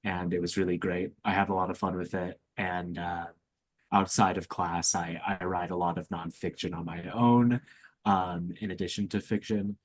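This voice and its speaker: A man's voice: low voice, flat delivery, normal speed, no echo. A young man, a student co-hosting a podcast, chatting informally with hesitant phrasing. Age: young adult. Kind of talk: casual chat.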